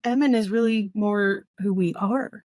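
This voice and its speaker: Female co-host, conversational and opinionated, medium pitch, dry studio-like sound. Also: measured pace, a little mellow, no echo. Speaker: book podcast co-host. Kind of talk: casual book discussion.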